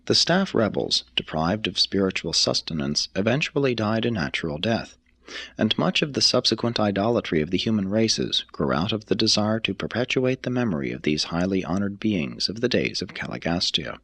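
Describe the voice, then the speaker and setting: Deep-pitched, expressive male audiobook narrator. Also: normal speed, slightly rough, no room sound. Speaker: audiobook reader. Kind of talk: scripted narration of a religious text.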